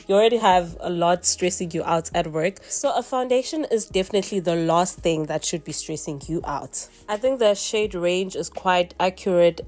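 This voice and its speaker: A woman's voice: lowish voice, a little mellow. A young woman, congested from a cold, chatting through a makeup tutorial and explaining each step. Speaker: makeup vlogger.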